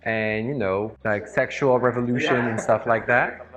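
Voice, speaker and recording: A man's voice: medium pitch, normal speed, crowd noise. A man from northern France with a French accent, a native French speaker talking casually in a group discussion. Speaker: panel participant. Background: music.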